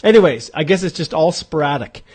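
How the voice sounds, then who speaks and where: A man in his forties speaks in a fairly high voice for a man. He hosts a live online question session, walking viewers through a calculator step by step. His delivery is informal and conversational, with restarts as he thinks aloud. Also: lively intonation, fairly quick, darkish tone, breathy edge. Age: 40s. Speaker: immigration advisor hosting a live Q&A.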